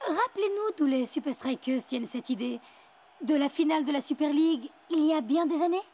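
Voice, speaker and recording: A woman playing a sharp, teasing football commentator in a French cartoon dub. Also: sits high, expressive, a little fast. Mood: wry. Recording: loud music behind commentary.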